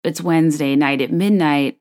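A woman in her thirties hosting a solo podcast, chatting in a wry, rambling way with a low, soft late-night voice. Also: normal speed. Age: 30s. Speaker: podcast host. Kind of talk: casual solo podcast chat.

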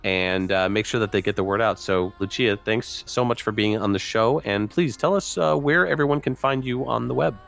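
A man's voice: on the low side, moderate pace, gravelly, few pauses, fairly bright tone, faint music behind. A man from Connecticut co-hosting a podcast, upbeat and joking, saying "like" a lot. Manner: upbeat, jokey, "like" often. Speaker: podcast co-host. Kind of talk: casual podcast chat.

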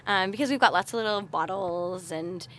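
A woman's voice: mid-range voice, normal speed, a bit bright, a little hiss or hum. Casting: young adult female interviewee, mid-range voice, natural conversational delivery for a podcast. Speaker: podcast guest, researcher and party facilitator.

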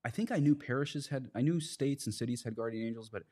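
A man's voice: mid-range voice, quick pace, a little mellow. A man, a priest, speaking as a podcast guest, earnestly explaining religious ideas in conversational, plain analogies.

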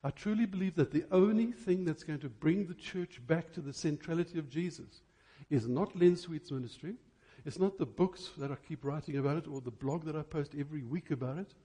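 A man preaching an impassioned church sermon, emphatic, with rhetorical questions and deliberate stresses on key words. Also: on the high side, dark tone.

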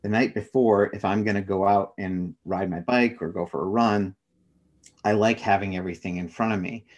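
A man's voice: on the low side, steady tone, moderate pace, darkish tone. A middle-aged man speaking as an interview guest, explaining his thinking in a reflective, conversational way. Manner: reflective, explains through personal examples.

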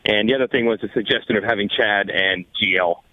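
A man's voice: fairly low pitch, quick pace, no room sound. Male motorsport panelist, argumentative and emphatic, casual talk, heard over a narrow phone-style connection. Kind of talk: casual sports discussion.

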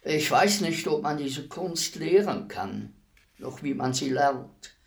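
An actor playing a weary old executioner in a radio play, speaking in a deliberate, ruminative manner with heavy pauses. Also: male voice, fairly high pitch, lively intonation, creaky, exaggerated voice. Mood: humble, pensive. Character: weary old male executioner, fifty years imprisoned, brooding, humble.

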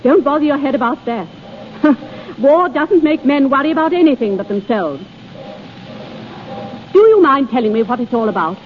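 A woman's voice: sits high, animated, moderate pace, pauses often. An actress playing a blunt, protective, scolding woman friend in a 1930s radio drama. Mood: scornful. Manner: sharp, exasperated. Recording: muffled, noisy 1930s radio recording.

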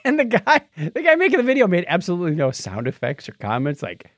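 A man's voice: high voice, wildly swooping pitch, brisk, slight background noise. A man co-hosting a podcast, chatting casually and jokily about news, often chuckling as he goes. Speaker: podcast co-host. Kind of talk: casual tech news chat.

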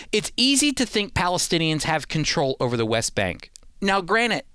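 Emphatic man with lively, higher-pitched delivery. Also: clean tone, no echo, studio quality. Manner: emphatic, persuasive. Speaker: host and Bible teacher. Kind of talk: prepared teaching commentary.

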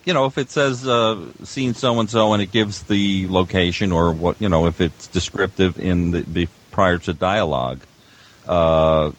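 An older man chatting as a veteran audio producer, explaining things in a halting, rambling way. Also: low-pitched, fairly even intonation, slight background noise. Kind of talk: casual panel discussion of audio production.

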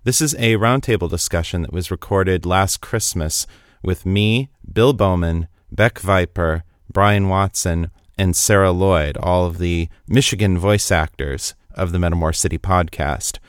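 Male podcast host, lower register, casual and conversational. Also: unhurried. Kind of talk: scripted introduction and casual round-table chat.

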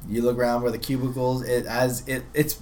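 A man acts as game master for a tabletop roleplaying session. His medium-pitched voice describes scenes off the cuff, halting and rephrasing as he goes. The group recording carries slight background noise. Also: little pitch movement, a little mellow. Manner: halting, conversational, often rephrasing mid-sentence.

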